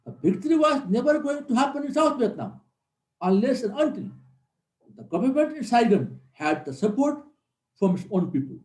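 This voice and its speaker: Man, high lively voice, mellow tone, military lecture, roomy echo. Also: normal speed. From Nepal. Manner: earnest, repeats key points for emphasis. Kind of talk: classroom lecture.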